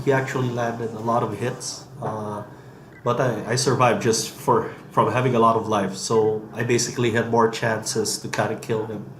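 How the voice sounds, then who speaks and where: Male dev with a head cold, mid pitch, hesitant gameplay commentary, echoey room with faint hum. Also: a bit bright. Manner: hesitant, many ums and restarts. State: has a cold. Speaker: game developer demoing gameplay. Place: office.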